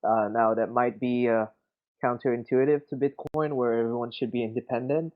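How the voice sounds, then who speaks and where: A man's voice: mid-range voice, steady tone, normal speed. A man from Quebec City whose first language is French, speaking English with a Québécois accent in a casual, hesitant discussion full of fillers and restarts. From Quebec City, Canada. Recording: tinny voice chat line.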